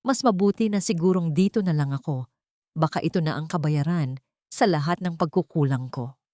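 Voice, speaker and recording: A woman voicing a resigned older housemaid speaking quietly. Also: low voice, normal speed, no echo, clean studio sound, lightly acted.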